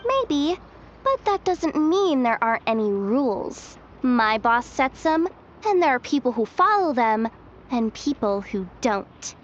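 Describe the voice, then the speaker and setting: High-voiced streetwise young informant. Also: female voice, very animated, a little slow, lo-fi sound, slight background noise. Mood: sly, confident. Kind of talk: video game voice acting. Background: game music.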